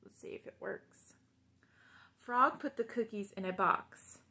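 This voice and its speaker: A woman's voice: mid-range voice, measured pace, creaky, sharp tone. A young adult woman reading a children's story aloud, with simple explanatory asides to young listeners. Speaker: storytime reader. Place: small living room, on a couch indoors.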